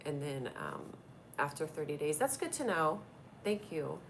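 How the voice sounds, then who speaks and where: Woman w a stuffy cold rambling on her live stream from a tablet, kinda low voice. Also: very animated, measured pace, gravelly, slight background noise. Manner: chatty and rambling, reacting to comments. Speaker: comedy show host doing a live stream. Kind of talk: casual live-stream chat reading viewer comments.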